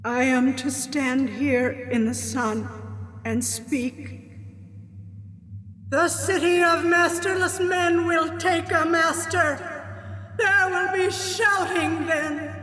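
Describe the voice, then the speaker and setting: An actress playing a sorrowful dead woman returned as a prophetic spirit, speaking solemn verse in a slow, grave incantation in a radio drama. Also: extremely high pitch, steady tone, a bit bright, exaggerated voice. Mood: ominous, foreboding. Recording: long cavernous reverb with music.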